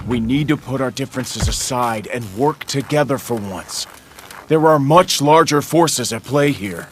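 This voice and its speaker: An actor playing an earnest, commanding superhero team leader in a video game, firm and serious. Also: male voice, mid-range voice, measured pace, slight background noise, natural voice. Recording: loud music over the voice.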